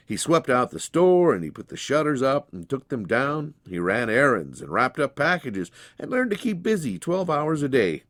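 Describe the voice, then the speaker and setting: A man's voice: medium pitch, no echo, studio quality. A man reading a story aloud as a dramatized storybook narration.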